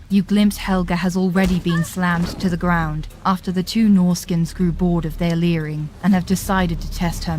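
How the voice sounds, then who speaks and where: A woman with a fairly low voice narrates a fantasy audio drama. Her delivery is scripted and dramatic. Faint music plays underneath. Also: a little mellow.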